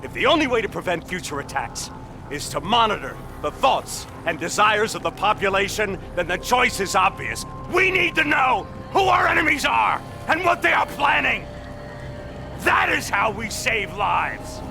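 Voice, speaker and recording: An actor playing a defiant, self-righteous security official in a video game, lecturing emphatically and with contempt. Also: male voice, high voice, big pitch swings, moderate pace, full character voice. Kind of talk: dramatic video game acting. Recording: clear music behind the voice.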